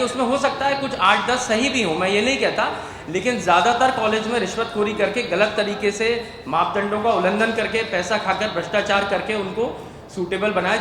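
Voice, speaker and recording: A man's voice: high voice, a bit bright. A male politician making insistent demands in a press statement, piling up phrases in an echoing room. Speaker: opposition legislator. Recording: echoey hall.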